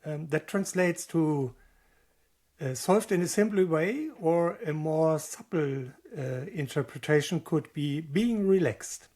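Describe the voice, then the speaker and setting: A man's voice: on the high side, slow pace, darkish tone. A man hosting a podcast, introducing and questioning his guest in a polite, hesitant way with many fillers. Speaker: podcast host. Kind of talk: interview hosting.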